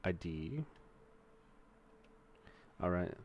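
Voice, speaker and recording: A man's voice: low-pitched, very animated, drawn-out words, highs cut off, slight background noise. A young man with a Mexican-American accent, casually swearing and thinking aloud as he works through coding exercises on a stream. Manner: profane, rambling, thinks aloud while typing. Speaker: streamer learning to code.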